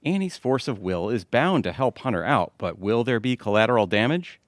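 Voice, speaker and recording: An actor playing a good-natured, exasperated middle-aged husband in an audio theatre comedy, wisecracking and confiding. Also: male voice, medium pitch, very animated, moderate pace, no room sound, clean studio sound, natural voice. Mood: confiding, pleased.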